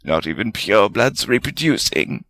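A man voicing a fierce, excitable, jealous male wizard spirit with a snappish, theatrical manner in a storybook reading. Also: on the low side, normal speed, no echo. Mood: grumbling, needling.

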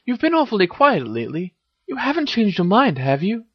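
A man's voice: high-pitched, huge pitch swings, brisk, breathy edge, no room sound, dull audio, voice pushed up, full character voice. A man voicing a fiery, proud teenage witch in an audiobook-style story, with emphatic delivery. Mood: shy, uncertain. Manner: scolding, emphatic when angered. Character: fiery, indignant teenage witch, girl with stubborn pride.

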